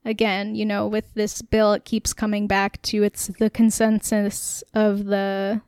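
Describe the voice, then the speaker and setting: A woman's voice: mid-range voice, completely flat delivery, a little slow, darkish tone, smooth, no room sound, studio quality. A woman co-hosting a casual political podcast, explaining at length with a wry, sarcastic edge and frequent laughs. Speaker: podcast co-host.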